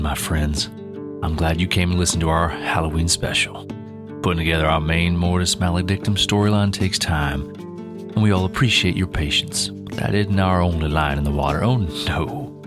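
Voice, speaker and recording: A man hosting a fiction podcast, addressing listeners in a scripted, theatrical storyteller manner. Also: sits low. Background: guitar music.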